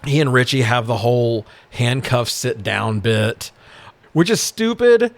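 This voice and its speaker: A male podcast co-host talks through a film in loose, conversational style. His voice sits at a middling pitch. He swears a lot and sounds sarcastic and exasperated. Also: expressive, measured pace, no room sound. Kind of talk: casual movie recap chat.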